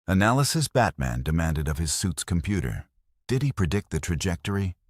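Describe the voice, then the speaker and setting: A man narrates an action audio novel in a dramatic storytelling style. His voice is extremely deep and husky, with a lively pitch that rises and falls, and the recording is dry and close. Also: fairly quick, no echo.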